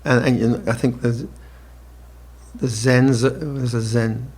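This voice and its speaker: A monk in his seventies gives an evening dharma talk, speaking slowly and reflectively with gentle restarts and soft laughter. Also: mid-range voice, steady tone, slightly rough. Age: 70s. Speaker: monk teacher. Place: retreat hall with listeners.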